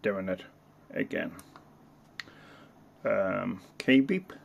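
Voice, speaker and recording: A man casually demonstrating a piece of radio equipment, talking in a halting way with muttered asides. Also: sits low, gravelly. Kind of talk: casual hands-on product demonstration.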